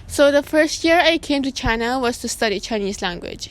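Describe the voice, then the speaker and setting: A young woman from Laos, a student answering interview questions in a relaxed, rambling way. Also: fairly high pitch, quick pace. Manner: relaxed, rambling, with many fillers.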